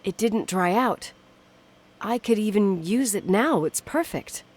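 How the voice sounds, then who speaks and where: A woman's voice: mid-range voice, animated, a little slow, a little mellow. An actress playing a stubborn, inquisitive young woman in naturalistic game dialogue, suspicious and uneasy, probing earnestly with some hesitation. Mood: puzzled, insistent.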